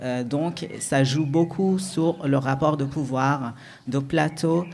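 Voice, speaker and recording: A man with a Persian accent who speaks in French slowly, pausing often to search for words. His voice sits a little high for a man, and he answers in a reflective, hesitant way, building each idea bit by bit. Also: vocal fry, darkish tone, a little hiss or hum. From Iran. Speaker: guest, dance artist. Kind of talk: radio interview answer.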